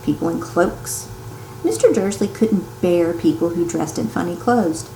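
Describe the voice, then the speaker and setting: A woman reads a children's story aloud expressively, like a teacher, with dramatic pauses, strong emphasis and hushed turns for suspense. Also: on the low side. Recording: roomy echo, some background noise.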